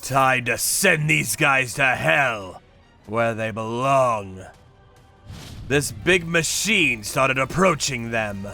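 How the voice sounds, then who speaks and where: A man voicing a grim, vengeful torturer who narrates his work in a manga dub with deliberate menace. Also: medium pitch, animated, creaky, pushed and bright, faint music behind, exaggerated voice. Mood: cold menace. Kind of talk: dramatic first-person manga dub narration.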